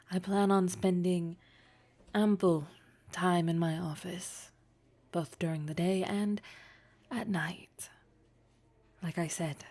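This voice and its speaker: Woman acting a slow, husky, flirtatious lady boss. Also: on the low side, dark tone, full character voice. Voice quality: breathy, husky. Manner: slow, deliberate, breathy, teasing formality. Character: poised, teasing, wealthy female boss, flirtatious and dominant. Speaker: audio roleplay performer. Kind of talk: intimate flirtatious audio roleplay acting.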